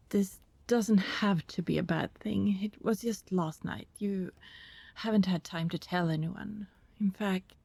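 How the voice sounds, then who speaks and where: A woman playing an anxious, lovelorn vampire neighbor in an ASMR roleplay, speaking to the listener in halting, secretive, coaxing rambles that slip into whispers. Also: mid-range voice, mellow, dry recording, exaggerated voice. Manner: halting, rambling, breathy asides dropping into whispers.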